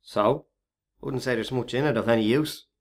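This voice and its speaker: A man's voice: mid-range voice, normal speed, close to an everyday voice. An actor playing a reluctant, worried Irish workman and hesitant accomplice in a radio play. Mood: baffled, worried. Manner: doubtful, worried.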